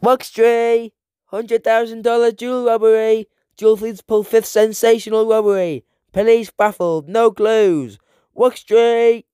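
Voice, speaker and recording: Man playing a high-pitched newsboy calling out headlines. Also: little pitch movement, a little slow, dark tone, clean tone, no room sound, studio quality. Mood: urgent hawking. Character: newsboy crying out newspaper crime headlines. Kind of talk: comic-book audiobook character voice. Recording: background music.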